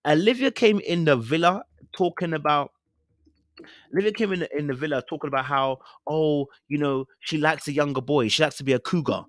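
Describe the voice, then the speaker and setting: A young man with a London accent and a fairly high voice hosts a casual podcast. He gives opinionated reality TV commentary full of slang, acting bits out in an animated way. The sound is close and dry. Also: fairly quick, dry recording. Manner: animated, slangy, theatrical retelling. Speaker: podcast host.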